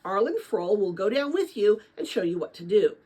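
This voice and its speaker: An older woman voicing a brisk, businesslike male works director in a storybook, giving direct instructions. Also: medium pitch, very animated, mellow, husky.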